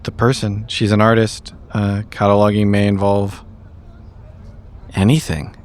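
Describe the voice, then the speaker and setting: A man playing a cautious, analytical desk agent in a tabletop roleplay, speaking in a measured, rather formal way. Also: lowish voice, level tone, a little hiss or hum, natural voice. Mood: careful, analytical. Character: cautious, analytical intelligence agent, not a field person. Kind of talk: improvised tabletop roleplay dialogue.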